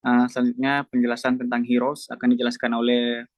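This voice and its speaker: Flat-voiced student moderator, man from Medan. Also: medium pitch, brisk. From Medan, Indonesia. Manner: formal, filler-heavy, reads slides in an even voice. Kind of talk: class group presentation.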